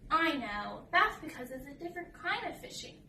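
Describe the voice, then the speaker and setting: Storybook piglet boy, eager and impatient, childlike, high-pitched, picked up from a distance; female reader. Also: big pitch swings, normal speed, creaky, bright, forceful tone, full character voice. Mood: cheerful. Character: Piggy, eager impatient young boy pig. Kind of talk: picture book read-aloud. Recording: roomy, distant mic with faint noise.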